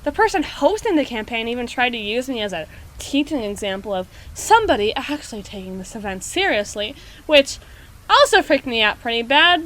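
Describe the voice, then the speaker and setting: A woman playing a proud, anxious, flustered schoolgirl in an ASMR audio roleplay, speaking in halting, formal phrases. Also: on the high side, fairly bright tone, a little hiss or hum, rough recording. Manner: halting, prim, formal phrasing with trailing restarts.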